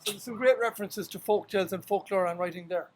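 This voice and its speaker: A male storyteller with a west of Ireland accent talks informally, as a guide on a literary tour would. His voice sits on the high side for a man. The sound is noticeably roomy, with clear echo around the words. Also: fast, a little hiss or hum. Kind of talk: informal guided-tour talk with readings aloud.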